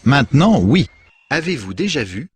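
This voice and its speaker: An actor playing a deadpan, politely puzzled straight man and narrator in an absurd cartoon comedy sketch. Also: mid-range voice, normal speed, a little hiss or hum, natural voice.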